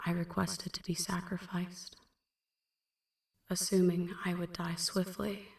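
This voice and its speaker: A woman playing a melancholy female vampire in an ASMR roleplay, recounting a dark past in a hushed, breathy, measured half-whisper. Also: on the low side, reverberant, distant mic. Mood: anguished, remorseful. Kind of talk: ASMR roleplay, slow dramatic first-person narration.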